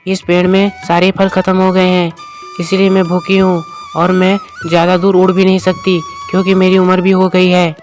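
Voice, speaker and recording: A man's voice: high-pitched, completely flat delivery, moderate pace, darkish tone, pitched above the performer's own voice. A man voicing a frail, elderly, kindly bird in a cartoon moral story, speaking plaintively. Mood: weak, pleading. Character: weak, hungry, elderly bird, kindly and curious. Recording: music bed under the voice.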